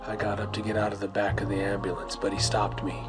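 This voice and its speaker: A man narrates a horror radio play in the first person. His lowish voice stays even and measured, with little rise or fall, over clearly audible music. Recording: music bed under narration.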